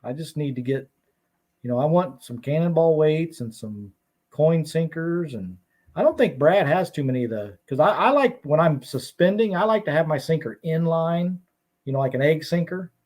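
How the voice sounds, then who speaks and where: A man's voice: fairly high pitch, expressive, moderate pace, darkish tone. A man talking as a guest on a casual fishing podcast, easygoing, laughing now and then and restarting his sentences. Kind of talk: casual chat.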